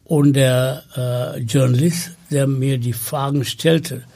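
Slow, gravelly man. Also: mid-range voice, steady tone, a little mellow. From Sri Lanka. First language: Tamil. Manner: slow and hesitant with many fillers. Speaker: podcast guest. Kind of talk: conversational interview.

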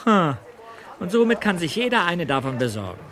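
A man's voice: high voice, sing-song, moderate pace, a bit bright. An actor playing a matter-of-fact, knowing teenage boy detective in an audio drama. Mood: knowing, casual. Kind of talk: German audio drama acting.

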